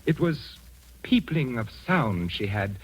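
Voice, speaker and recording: An actor playing a grave, secretive widowed father in 1940s radio style, halting and melodramatic. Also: medium pitch, very animated, slight background noise, rough recording. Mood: grave, confiding. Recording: vintage 1940s radio broadcast.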